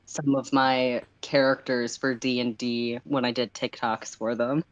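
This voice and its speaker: Female livestream co-host with a strikingly low voice, casual and playful. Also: flat delivery, unhurried, dull audio.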